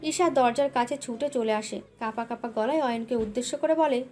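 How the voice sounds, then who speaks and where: A woman's voice: fairly high pitch, fairly quick, warm tone, soft background music. A woman narrating a scripted dramatic love story as its storyteller.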